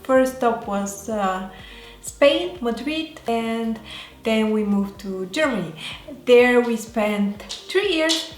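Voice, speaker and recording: A woman with a Bulgarian accent talking casually as a vlogger, in a halting way that often pauses mid-sentence. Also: fairly high pitch, expressive. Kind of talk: casual talk to camera. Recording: roomy echo, faint music behind.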